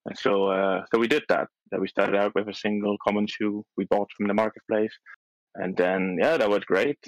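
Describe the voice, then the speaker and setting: A man's voice: on the low side, fairly even intonation, normal speed, no room sound, rough recording. A young adult man whose first language is Dutch, guest on a casual podcast, talking in a hesitant way with many restarts. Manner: hesitant, restarts often, soft laughs. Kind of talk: casual chat.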